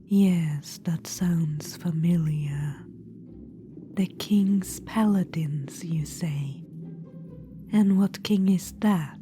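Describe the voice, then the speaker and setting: A woman voicing a cold, scheming undead witch-queen in a whispered ASMR roleplay, with a dry, mocking manner. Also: very slow. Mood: sly, probing. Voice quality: whispered, soft and low. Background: music.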